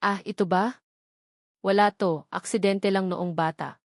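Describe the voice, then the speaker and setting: A woman's voice: mid-range voice, fairly even intonation, a little slow, dry recording, studio quality, close to an everyday voice. Woman reading as a guarded, curt male janitor. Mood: evasive, cool. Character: guarded, secretive male janitor in a love story.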